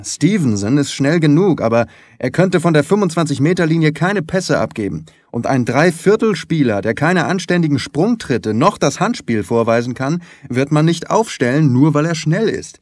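A man's voice: fairly high pitch, expressive, normal speed, hardly any pauses, darkish tone, no room sound, clean studio sound. A man voicing a huge, distraught young rugby player in an audiobook, talking with urgent, earnest emphasis. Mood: agitated, earnest.

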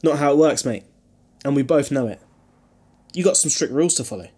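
Man acting a husky, wry time-travelling hero. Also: a little high, lively intonation, a little fast, mellow. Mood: stern, defiant. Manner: wry, chatty, bantering. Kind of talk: fan audio drama acting.

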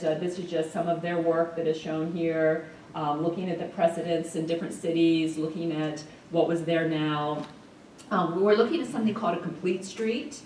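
A middle-aged woman gives a conference keynote from a podium, walking through her points with lots of ums and uhs. Her voice sits a little low and is picked up from far back in a large, echoey hall. A faint hum of the room lies underneath. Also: a little mellow. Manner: explanatory, frequent ums and uhs. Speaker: keynote speaker. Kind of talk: conference presentation. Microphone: podium mic, recorded from the audience. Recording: roomy hall audio from far off.

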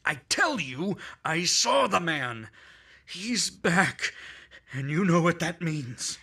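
Brief: actor as a rattled 1940s radio husband, bright, slightly husky, slow but jumpy. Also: male voice, on the high side, very animated, exaggerated voice. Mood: anxious. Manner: anxious, stammering. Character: nervous guilty husband, on edge.

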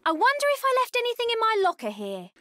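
A woman's voice: very high-pitched, wildly swooping pitch, fast talker, sharp tone, no room sound, exaggerated voice. A woman playing a cheeky, irrepressible young hero pilot in a video game, chirpy and bantering in a Cockney accent.

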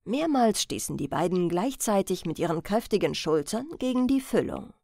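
A woman's voice: on the low side, big pitch swings, normal speed, gravelly, mellow, no echo, clean studio sound. A woman narrating a crime audiobook as a scripted reading.

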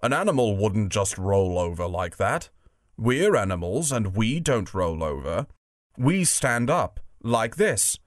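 A man's voice: on the low side, sing-song, a little slow, dry recording. A big simple-minded bear from a storybook, played by a male narrator. He is earnest and plodding in how he explains himself, in a dry, close recording. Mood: earnest, proud. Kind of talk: storybook character voice.

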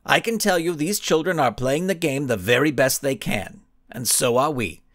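A man's voice: fairly high pitch, normal speed, a bit bright, dry recording, studio quality, lightly acted. A man voicing a cocky, grandstanding male supervillain in a storybook reading, giving smug, showy speeches.

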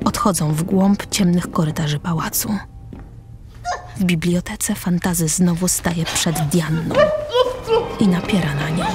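A woman's voice: fairly low pitch, fairly even intonation, measured pace, long pauses. A woman narrator reading the scripted stage directions of a radio drama. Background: music.

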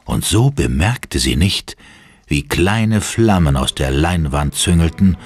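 A man's voice: sits low, animated, takes it slowly, breathy edge, no room sound, full character voice. A man narrating a horror audio drama as a grave, suspenseful storyteller. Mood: ominous. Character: ominous male storyteller of a horror tale. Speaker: third-person narrator. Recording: loud dramatic music behind.

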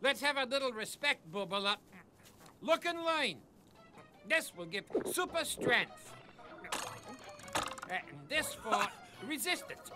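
A man's voice: very high voice, expressive, takes it slowly, long pauses, full character voice. A man playing a grumpy, jokey old magical creature in a cartoon, talking in wisecracking slang over music. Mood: cocky, wisecracking. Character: grumpy, jokey male magical shape-shifting creature, getting on in years. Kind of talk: animated comic sidekick acting. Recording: loud soundtrack music.

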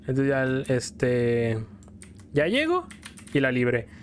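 A man's voice: mid-range voice, huge pitch swings, slow pace, slight background noise. A Mexican man streaming a game, rambling casually and playfully in slang as he tells stories and comments on play. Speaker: gaming streamer. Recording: music behind the voice. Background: video game music.

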